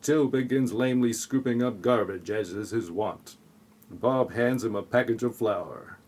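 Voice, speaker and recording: Guy narrating a spoofy audio play, deadpan, sarcastic little asides, medium pitch voice. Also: measured pace. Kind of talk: tongue-in-cheek scripted audio play narration.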